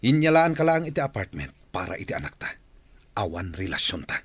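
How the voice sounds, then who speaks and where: A man performs a married Ilocano man in a radio drama, curt and angry. His voice is lowish and husky, cold as he brushes someone off. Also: sing-song, brisk, dry recording, heavily acted. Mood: irritated.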